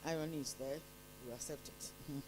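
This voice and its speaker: A woman acting as quiz master, reading each contest question out deliberately and then repeating it. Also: sits low, steady tone, background noise. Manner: deliberate, reads each line twice.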